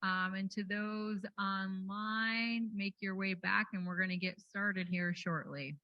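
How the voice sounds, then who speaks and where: A woman hosting a workshop, making friendly, brisk announcements over a microphone. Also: medium pitch, steady tone, no room sound, lo-fi sound. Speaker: event host. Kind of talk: event announcements. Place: conference room.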